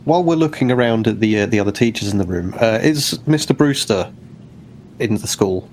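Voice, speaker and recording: A man's voice: fairly low pitch, animated, brisk, a little mellow, a little hiss or hum. A man playing a tabletop roleplaying game, casually narrating what his character does.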